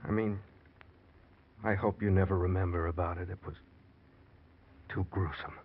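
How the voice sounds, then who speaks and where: A guilty, controlling husband in an old radio crime drama. His voice is low and husky, with an edgy undertone. The broadcast sounds dull and dated. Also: fairly even intonation, moderate pace. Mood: solicitous, guilty. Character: guilty, possessive husband hiding a murder, edgy. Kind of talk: 1940s crime radio acting.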